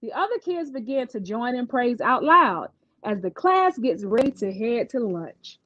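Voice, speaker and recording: A woman storyteller reading a children's picture book aloud in an expressive style. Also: a little high, unhurried, dull audio.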